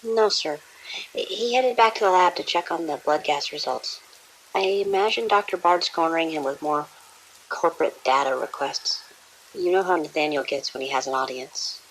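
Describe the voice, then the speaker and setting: An actress playing a dutiful, uneasy nurse in an audio drama, reporting politely and hesitantly. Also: female voice, sits low, moderate pace, fairly bright tone, low-quality recording. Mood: strained.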